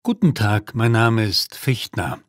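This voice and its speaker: A man's voice: on the low side, lively intonation, measured pace, dry recording, clean studio sound. A man playing a polite, businesslike father making an enquiry in a scripted language-lesson dialogue. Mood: courteous. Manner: courteous, businesslike. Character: polite father seeking tutoring for his daughter.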